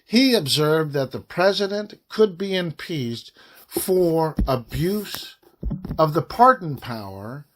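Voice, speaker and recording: A man reading a formal report aloud in deliberate phrases, with frequent breaks between them. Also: a little high, fairly bright tone. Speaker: reader of a government report.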